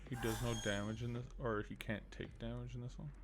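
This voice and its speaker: Creaky-voiced man thinking aloud while gaming. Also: medium pitch, level tone, brisk, noisy background. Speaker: game streamer. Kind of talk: casual live gameplay commentary, thinking aloud.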